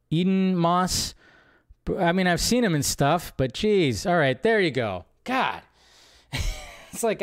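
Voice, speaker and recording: A man's voice: on the high side, clear, resonant tone. A man hosting a live film news show with casual, unscripted commentary, rambling and thinking aloud with some mild swearing.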